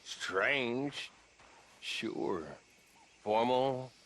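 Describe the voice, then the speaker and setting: An actor playing an unhelpful, laconic stranger in a western video game, answering dismissively. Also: male voice, mid-range voice, extremely slow, fairly bright tone, slight background noise. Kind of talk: dramatic video game acting.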